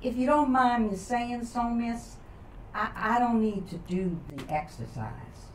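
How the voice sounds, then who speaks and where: A woman playing a polite, matter-of-fact teenage boy, earnest and halting, as in a story reading. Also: on the high side, voice pushed up, natural voice. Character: polite, matter-of-fact teenage schoolboy explaining his tangled family. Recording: roomy echo, distant mic, background noise.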